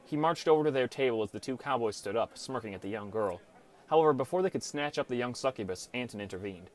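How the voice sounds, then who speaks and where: A man's voice: medium pitch, animated, fast. A man reading a scripted first-person story as an audiobook narrator.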